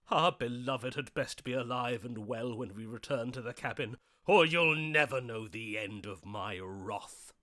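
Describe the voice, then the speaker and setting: Melodramatic lovesick gallant, grandiose and vengeful. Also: male voice, mid-range voice, pushed and bright, dry recording, studio quality. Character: lovesick, theatrical gallant male romantic, wrathful. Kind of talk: video game voice acting.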